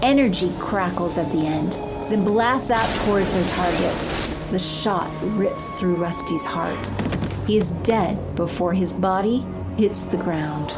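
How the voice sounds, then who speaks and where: A woman's voice: fairly low pitch, slow pace, over loud music. A woman delivering scripted dramatic narration as the narrator of an audio story.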